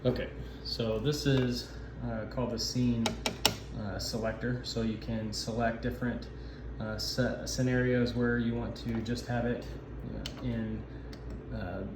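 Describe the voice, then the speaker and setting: Man, even monotone delivery, halting informal demo, roomy sound, improvised explaining. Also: medium pitch. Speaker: sound system installer giving a walkthrough. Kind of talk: informal instructional demo narration. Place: indoor camp office. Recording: echoey room, noisy ambient background.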